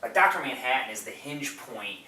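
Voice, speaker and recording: Male podcast co-host, bright and keen, gushing in casual film chat, picked up from across a roomy space. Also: medium pitch, lively intonation, moderate pace. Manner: enthusiastic, gushing. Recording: roomy, distant mic, background noise.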